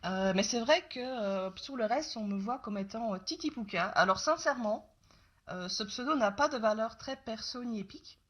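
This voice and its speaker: A woman in her late twenties with a light Belgian French accent, chatting as an interview guest over a video call and pausing often as she thinks. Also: a little high. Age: late 20s. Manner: relaxed, hesitant with many pauses. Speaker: interview guest, YouTube game master. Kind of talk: casual interview chat.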